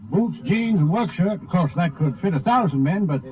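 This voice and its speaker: An actor playing an eager small-town druggist giving clipped, plainspoken witness answers in a 1950s radio drama. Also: male voice, high-pitched, expressive, normal speed. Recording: distant, tinny 1950 radio recording.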